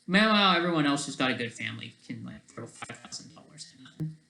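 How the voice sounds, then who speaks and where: A man talking off the cuff in a casual, rambling monologue, halting and jumping between thoughts, often checking in with questioning tags. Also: mid-range voice, lively intonation, gravelly, bright, forceful tone, slight background noise. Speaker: solo online video creator. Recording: echoey, roomy sound.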